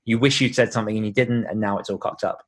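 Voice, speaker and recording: A man's voice: on the low side, fairly even intonation, fast. British man chatting informally. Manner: informal, explanatory. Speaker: author and podcaster as interview guest. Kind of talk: conversational podcast interview.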